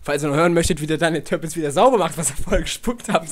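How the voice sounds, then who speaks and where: A man's voice: a little high, racing, a little hiss or hum. A man performing improvised comic talk and mock announcements for a radio parody.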